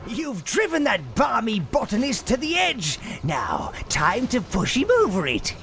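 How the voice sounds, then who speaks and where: An actor voicing a sly, gleefully villainous old minion creature in a video game, theatrical and scheming with mock deference. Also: male voice, very high voice, huge pitch swings, a little slow, vocal fry, a bit bright, a little hiss or hum, full character voice. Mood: gleeful urging. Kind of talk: video game narration and instructions.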